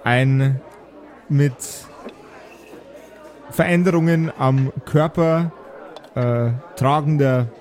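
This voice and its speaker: A man's voice: mid-range voice, very slow, long pauses, a little mellow, some chatter behind. A man working as game master, improvising narration for a tabletop audio game.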